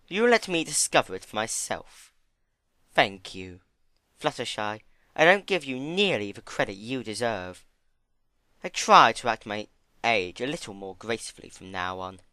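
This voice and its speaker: A man's voice: fairly low pitch, big pitch swings, a little slow, pushed and bright, dry recording, full character voice. A man voicing a refined, ladylike female pony with a genteel, thoughtful and dramatic way of speaking in a story reading. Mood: solemn gratitude. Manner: genteel, musing. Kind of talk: dramatic fan-fiction reading.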